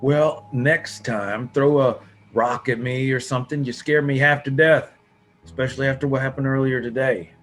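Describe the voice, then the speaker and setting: A man's voice: mid-range voice, steady tone, normal speed, close to an everyday voice. A man acting a stubborn, defensive survivor in a horror comic table read, insistent and argumentative. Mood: irritated. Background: music under the voice.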